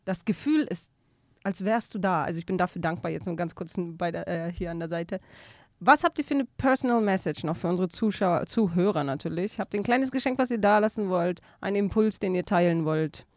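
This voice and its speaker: A woman's voice: lowish voice, brisk, darkish tone, no room sound, clean studio sound. A woman interviewing musicians, chatty and laughing often as she asks her questions. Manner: chatty, laughs often, switches between German and English.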